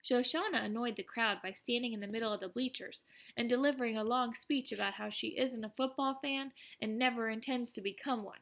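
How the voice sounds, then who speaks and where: A woman reading aloud as an excitable, boastful, self-deprecating teenage schoolboy who tells his story in an animated, wry, conversational way. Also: a little high, a little fast. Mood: proud, chatty. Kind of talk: first-person audiobook narration.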